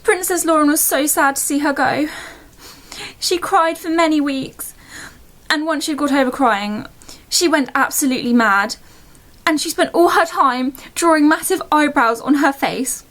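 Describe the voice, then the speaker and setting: A woman's voice: sits high, normal speed, fairly bright tone. A woman narrating a bedtime story in a playful, mock-solemn storybook manner.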